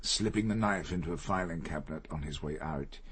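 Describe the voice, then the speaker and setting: Radio drama role: proud, guilt-ridden older gentleman, low and very husky, formal, deliberate delivery. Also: full character voice. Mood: measured, confessional.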